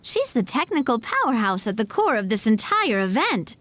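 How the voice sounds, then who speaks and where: A woman voicing a gracious young female company president in a video game, polite and composed with a gently teasing manner. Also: fairly high pitch, sing-song, normal speed. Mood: businesslike. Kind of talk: animated video game acting.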